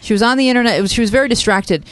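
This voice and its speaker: A middle-aged woman co-hosting a podcast in a studio, talking in a quick, chatty and playful way. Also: fairly high pitch, no room sound, rough recording. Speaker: podcast co-host. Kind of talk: casual chat. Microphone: studio microphone.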